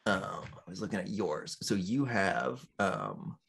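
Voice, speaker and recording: Male game master, lowish voice, unhurried, encouraging, lots of ums. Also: big pitch swings, vocal fry, a bit bright, slightly rough. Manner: encouraging, hesitant with frequent ums. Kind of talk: running a tabletop game over voice chat.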